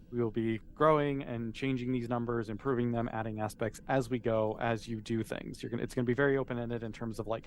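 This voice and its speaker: A man's voice: mid-range voice, slight background noise. A man game mastering a tabletop role-playing game, explaining and narrating in a casual, informal way.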